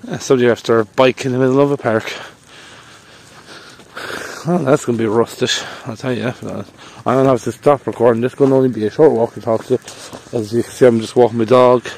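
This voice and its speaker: A man's voice: mid-range voice, darkish tone, a little hiss or hum. Chatty man vlogging outdoors. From Ireland. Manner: chatty and rambling, playful sing-song bursts. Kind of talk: casual walking vlog. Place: outdoor park path in falling snow. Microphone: phone held at arm's length. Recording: faint outdoor ambience.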